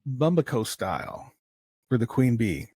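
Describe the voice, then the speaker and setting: A man's voice: mid-range voice, big pitch swings, unhurried, a little mellow, breathy edge, dry recording, clean studio sound. A man hosting a podcast in casual chat, blunt and swearing, calling people "dude". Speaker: podcast host.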